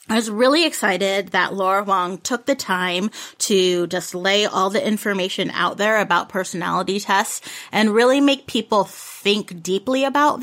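Woman co-host, mid-pitched voice, steady informative book reviews. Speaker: podcast host. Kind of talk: book review, read-through summary.

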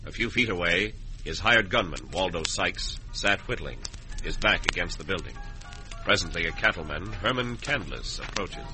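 A man's voice: low voice, lively intonation, moderate pace, rough recording. A man with an American accent narrating a vintage radio western as its announcer. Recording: vintage radio recording with music.

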